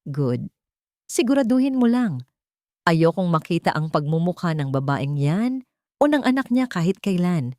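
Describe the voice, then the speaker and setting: A woman's voice: fairly low pitch, sing-song, moderate pace, mellow, no echo, studio quality. A woman narrator with a Filipino accent voicing a cold, irritable rich villainess in a story, haughty and demanding. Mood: cold, menacing.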